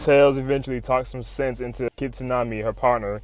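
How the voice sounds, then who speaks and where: A man giving an excited, slangy, profane comic recap as a video host. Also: mid-range voice, brisk, faint music behind. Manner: slangy, profane, informal, exclamatory.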